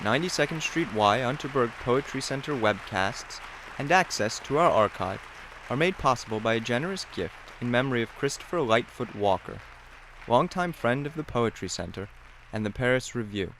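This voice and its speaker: A man giving a scripted closing announcement in an even, formal read. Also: mid-range voice, normal speed, a faint crowd, slight background noise, poor audio.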